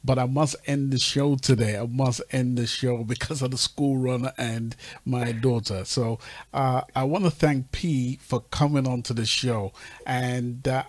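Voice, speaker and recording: A man's voice: mid-range voice, darkish tone, no room sound. Man hosting on a dry call. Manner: hesitant, repeats words as he starts. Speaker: talk show host. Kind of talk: casual radio chat over a call. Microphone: video call.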